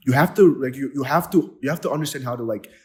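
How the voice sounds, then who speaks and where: A man's voice: medium pitch, fast talker, darkish tone. A man from Nigeria hosting a podcast interview, talking with enthusiasm and lots of fillers and restarts. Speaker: podcast host. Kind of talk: casual interview chat.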